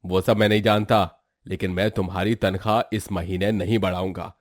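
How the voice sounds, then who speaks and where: Man acting a low-voiced, stingy, grumpy boss. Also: flat delivery, brisk, dry recording, studio quality, close to an everyday voice. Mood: grumpy refusal. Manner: harsh, scolding, dismissive. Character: stingy, grumpy male roadside eatery owner. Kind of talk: acted moral-story dialogue.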